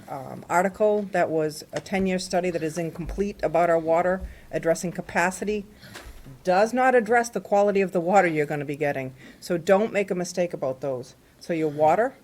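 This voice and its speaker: A woman's voice: fairly low pitch, darkish tone. A woman from New answering as a candidate at a town forum, firm and insistent.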